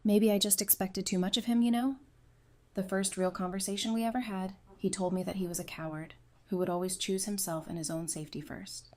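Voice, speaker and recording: A woman's voice: mid-range voice, steady tone, brisk, mellow, close to an everyday voice. A woman playing a caring but hurt psychiatrist in an audio drama, speaking in a candid, conversational way. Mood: weary, hurt. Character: caring psychiatrist woman, weary and hurt, wryly self-deprecating.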